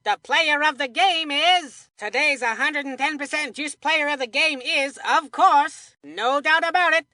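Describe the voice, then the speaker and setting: Kids' baseball game commentator: upbeat cartoon announcer, bright and forceful, punchy delivery, very dry studio sound. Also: female voice, high voice, measured pace, hardly any pauses, no echo. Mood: upbeat presenting. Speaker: sports commentator. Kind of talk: scripted play-by-play sports announcing.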